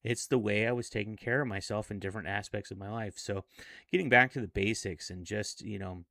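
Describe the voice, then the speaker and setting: A man of forty speaking in a casual, reflective vlog monologue, earnest and a little halting. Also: on the low side, fairly even intonation, gravelly, sharp tone, dry recording. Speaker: self-improvement vlogger.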